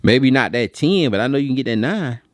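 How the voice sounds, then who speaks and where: A man's voice: medium pitch, lively intonation, fairly quick, no echo. An American man hosts a casual, unscripted video in a mid-range male voice. He speaks bluntly and colloquially, often repeating a phrase to drive it home. The sound is dry, with hardly any room on it. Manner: emphatic, repeats phrases for stress. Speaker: video host and print equipment reviewer. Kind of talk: casual unscripted opinion talk.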